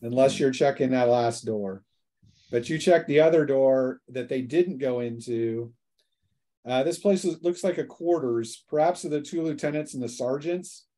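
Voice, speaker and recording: A man acting as game master, casually narrating scenes and rulings at a tabletop role-playing game, sometimes haltingly. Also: mid-range voice, mellow.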